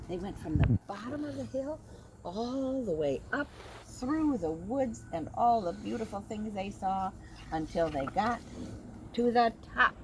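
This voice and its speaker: Expressive woman reading a picture book aloud. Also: mid-range voice. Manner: gentle, expressive, slows down for young listeners. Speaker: teacher reading to children. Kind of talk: storybook read-aloud for kids. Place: at home with her dog nearby. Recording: home recording with clear background noise.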